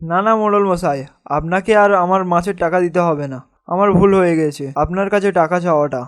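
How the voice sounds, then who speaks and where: A man's voice: sits high, fast, close to an everyday voice. A man acting a humble, anxious village fisherman in a cartoon, with a meek, pleading way of speaking. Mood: apologetic.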